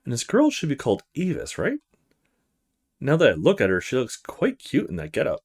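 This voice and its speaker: A man playing a musing young male student, animated pitch. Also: brisk, breathy edge, full character voice. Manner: casual inner monologue with short musing fragments.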